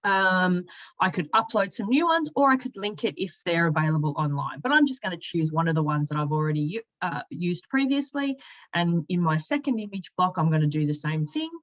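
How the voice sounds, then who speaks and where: A woman narrating an informal software walkthrough, explaining each step conversationally as a product demonstrator. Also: fairly low pitch, animated, a little fast, lo-fi sound. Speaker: product demonstrator, company sales and marketing director.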